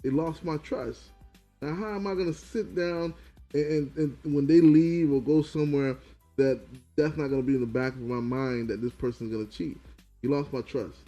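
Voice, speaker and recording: A man's voice: mid-range voice, fairly even intonation, normal speed, dark tone, faint music behind. A man co-hosting a relationship podcast in casual chat, pressing his point and repeating it. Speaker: podcast co-host.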